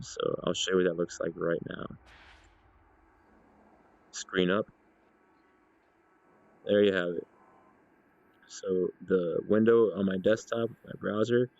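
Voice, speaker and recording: A man narrating an informal software demonstration in a measured, explanatory way. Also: sits low, vocal fry. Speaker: gaming video creator demonstrating software. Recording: dull lo-fi voice recording.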